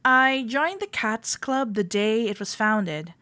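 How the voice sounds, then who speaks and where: Actress as friendly cuddle club guide: female, slightly high voice, gently teasing, reassuring, close dry roleplay audio. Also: lively intonation, slow, no room sound, full character voice. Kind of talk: roleplay audio, addressed to the listener.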